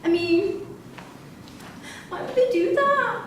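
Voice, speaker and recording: A roomy, distant recording with faint noise carries an actress as a bewildered, grieving widow in a staged drama reading. Her voice is extremely high, fragile and plaintive. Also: animated, takes it slowly, exaggerated voice. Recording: distant mic in echoey room, noisy.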